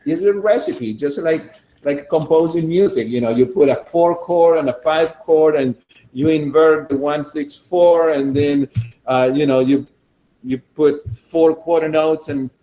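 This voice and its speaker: A man from Argentina, demonstrating a recipe in a casual, enthusiastic way while thinking out loud. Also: fairly high pitch, measured pace, low-quality recording. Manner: chatty and enthusiastic, thinking aloud with fillers. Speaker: cooking demonstrator.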